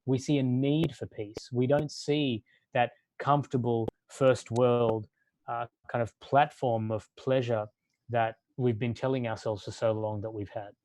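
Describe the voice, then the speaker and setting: Mellow-voiced man giving a reflective talk. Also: mid-range voice, no echo. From Australia. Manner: measured, building long lists for emphasis. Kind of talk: sermon-like talk.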